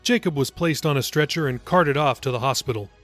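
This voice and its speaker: A man's voice: mid-range voice, brisk, a bit bright, soft background music. A man reading his own novel as scripted audiobook narration.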